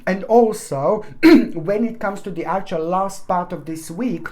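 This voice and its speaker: A woman's voice: fairly low pitch, measured pace, warm tone. Earnest woman giving a tarot reading. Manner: earnest, repeats herself, addresses viewers with endearments; many fillers and restarts, pleading emphasis. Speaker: tarot reader. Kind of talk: weekly tarot reading monologue to camera.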